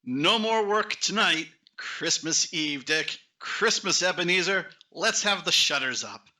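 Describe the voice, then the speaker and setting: A man's voice: lively intonation, measured pace, sharp tone, heavily acted. A man voicing a hearty, generous old male employer, calling out cheerfully in an amateur radio play read over a video call. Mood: jovial. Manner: booming cheerful calls.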